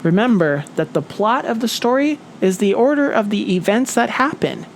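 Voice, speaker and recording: A woman teacher giving a children's story read-aloud lesson in a deliberate, expressive, instructional way. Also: mid-range voice, slight background noise.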